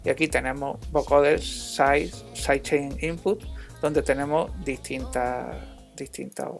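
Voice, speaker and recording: Man, tutorial instructor, informal, halting delivery, medium pitch, noticeable vocal fry. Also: steady tone, soft background music. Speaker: music production instructor. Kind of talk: informal software tutorial narration.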